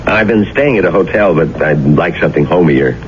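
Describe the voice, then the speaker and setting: An actor playing a charming young boarder in an old radio drama, friendly and folksy, a little evasive. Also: male voice, deep voice, animated, brisk. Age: young adult. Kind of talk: 1970s radio drama acting. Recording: dull old radio broadcast, faint hiss.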